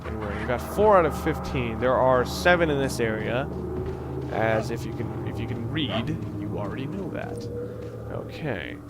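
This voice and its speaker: A man giving casual, halting gameplay commentary as a let's play host, rambling and thinking aloud as he plays. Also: medium pitch, expressive, loud music behind the voice.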